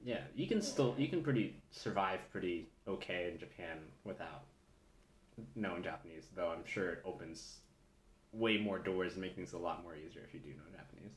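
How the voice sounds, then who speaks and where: Low-voiced man chatting, roomy echo. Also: lively intonation, slight background noise. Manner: halting, many fillers and restarts. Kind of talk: casual chat. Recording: echoey room.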